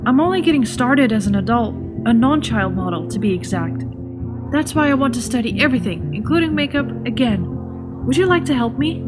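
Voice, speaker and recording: Woman doing a high voiced prim teen anime boy, fussy n self-assured, music playing behind. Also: lively intonation, a little fast, close to an everyday voice. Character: fussy, self-assured teenage male model turned idol. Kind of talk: anime fandub acting.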